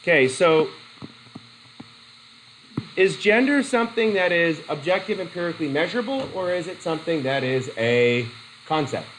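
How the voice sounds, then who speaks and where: A middle-aged man with a cold teaching a psychology methods class, halting and restarting as he questions students in a whiteboard classroom. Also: on the high side, lively intonation, a bit bright, slight background noise, low-quality recording. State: has a cold. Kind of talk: classroom lecture.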